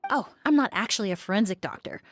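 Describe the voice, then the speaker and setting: A woman voicing a composed, gentle young female investigator in a video game, speaking politely and precisely. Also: medium pitch, close to an everyday voice. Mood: calm, analytical. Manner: calm, polite, measured.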